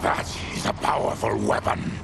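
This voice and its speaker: An actor playing a dignified, afflicted warrior from another realm, gravelly, formal and stern, in a video game cutscene. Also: male voice, normal speed, noisy background, lightly acted.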